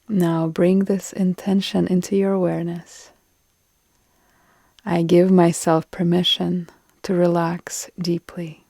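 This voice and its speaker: A woman leads a guided yoga nidra relaxation in a low-leaning, mellow voice. She is calm and soothing, leaving long pauses, and her soft phrase endings turn breathy. The delivery stays very even, with hardly any melody. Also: no echo.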